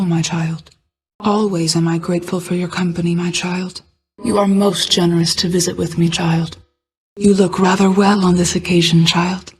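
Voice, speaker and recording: A woman voicing a regal, ageless, motherly goddess of night in a video game, speaking in formal, measured, archaic phrasing. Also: lowish voice, lightly acted, rough recording. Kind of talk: scripted video game voice acting.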